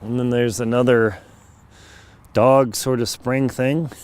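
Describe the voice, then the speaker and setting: A middle-aged man, a bit hoarse, chatting wryly to a handheld camera outdoors at a farm, with jokey asides. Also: mid-range voice, steady tone, takes it slowly, darkish tone. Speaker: travel vlogger. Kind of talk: casual handheld travel vlog. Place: outdoors on a rural farm lot. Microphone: handheld camera mic at arm's length.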